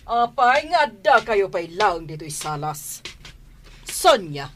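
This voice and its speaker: A woman's voice: mid-range voice, sing-song, slow pace, fairly bright tone, exaggerated voice. A middle-aged woman playing a haughty, bossy rich mistress in an Ilocano-accented radio drama, with an imperious manner. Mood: angry, bossy.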